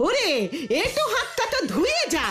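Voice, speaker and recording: A woman's voice: very high voice, very animated, normal speed, loud music behind the voice. A woman voicing an eager, fussing aunt in a cartoon, coaxing and exclaiming. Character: eager, fussing aunt pushing a rich match. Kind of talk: exaggerated cartoon voice acting.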